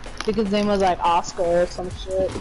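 A woman's voice: mid-range voice, flat delivery, moderate pace. A woman giving easygoing, offhand replies while gaming with friends. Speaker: friend in party chat. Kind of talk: casual gaming chat. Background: game music.

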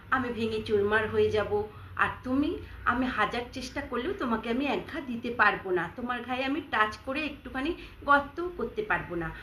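A small sewing needle pleads and reasons in a raised, lively voice, as a woman narrator puts her on. She sounds calm and humble. The room has a clear echo. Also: a little high, slight background noise. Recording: echoey room.

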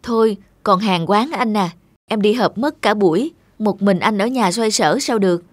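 A woman playing a modest, self-effacing young wife in a cartoon, gentle and hesitant. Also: mid-range voice, moderate pace, no echo, natural voice. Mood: quietly resolute. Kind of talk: cartoon dialogue. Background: music.

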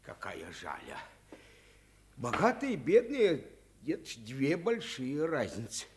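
A man's voice: mid-range voice, huge pitch swings, slow pace, heavily acted. A man playing a simple, chatty floor polisher in a radio play, speaking in colloquial dialect. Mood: folksy, commiserating. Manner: colloquial, dialect-flavoured speech.

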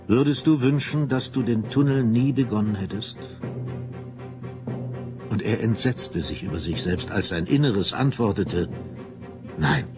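A man reading the narration of a novel with a measured, serious delivery. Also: medium pitch. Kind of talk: literary narration. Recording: music under narration.

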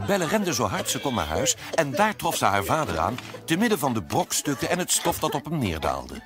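A man's voice: medium pitch, wildly swooping pitch, brisk. A man telling a fairy tale as a scripted narration. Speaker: storyteller. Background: music.

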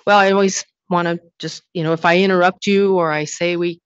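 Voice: mid-range voice, steady tone, fairly quick, clear, resonant tone, no echo. Hearing chair explaining procedure, reassuring with lots of ums, dry muffled mic. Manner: explanatory, reassuring, filler-heavy. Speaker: commission chair addressing an applicant.

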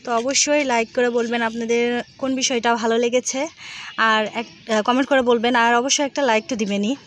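A woman's voice: on the high side, fairly even intonation, fast talker. A woman with a Bangladeshi accent chatting casually to viewers as a rooftop garden vlogger, explaining things with some repetition. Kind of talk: casual vlog chat to viewers. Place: open rooftop garden in a city. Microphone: handheld phone behind the camera. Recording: noisy outdoor phone recording.

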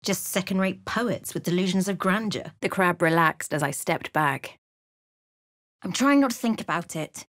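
A woman playing a wry, watchful adventurer in a video game, telling of events in a measured, dramatic way. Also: mid-range voice, no echo, clean studio sound. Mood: sharp, wry. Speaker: game character voice actor. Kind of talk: video game voice lines, narration and barks.

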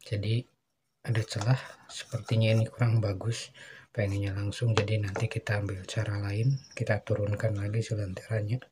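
A man with a low voice talks through a hands-on build in a steady, level tone, explaining each step as he goes. Clear hiss and hum sit under his voice in a homemade recording. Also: moderate pace. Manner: plain, explaining while working with his hands. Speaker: DIY camping channel host. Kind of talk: casual step-by-step craft tutorial. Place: indoors at a work table.

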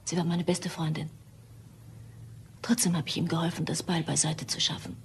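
An actress playing a murder suspect's scheming, fearful mistress in a crime radio drama, with urgent delivery. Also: female voice, low voice, level tone, moderate pace, slight background noise. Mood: betraying.

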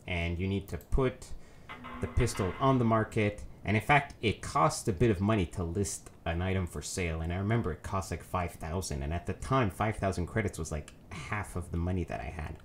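Man with a cold, nasal, low voice, hosting a casual let's play with loose, rambling delivery over a faint noise floor. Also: fairly quick. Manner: rambling, many ums and self-corrections. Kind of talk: casual gameplay commentary.

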